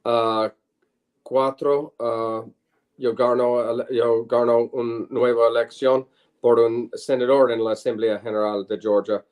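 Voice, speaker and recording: A middle-aged man, a native English speaker and lawyer, answering interview questions in halting, searching phrases and switching between two languages. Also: mid-range voice, level tone, a little mellow. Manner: halting, searching for words in Spanish. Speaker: lawyer and former state senator, guest.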